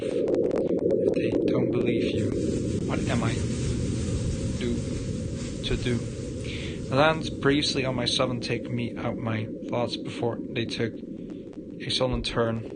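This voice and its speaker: A man livestreaming a visual novel, reading the narration aloud in a halting way and stumbling over words. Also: mid-range voice, heavy background noise. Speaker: game livestreamer.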